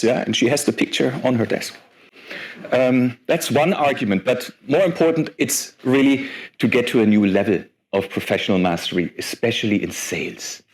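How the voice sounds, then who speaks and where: A man in his forties giving a lively conference talk as guest speaker, chatty and self-deprecating with many fillers. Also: mid-range voice. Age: 40s.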